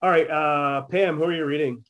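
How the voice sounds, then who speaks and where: Higher-voiced man, casually leading actors. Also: fairly even intonation, normal speed, fairly bright tone. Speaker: actor leading a read-through. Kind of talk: casual chat. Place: home video call.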